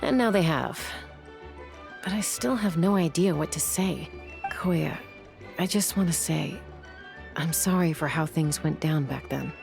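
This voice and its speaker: A woman's voice: deep voice, measured pace, gravelly, long pauses, over music. An actress playing a sensitive young woman, a hesitant and earnest peacemaker in a video game. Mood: hesitant, sorry.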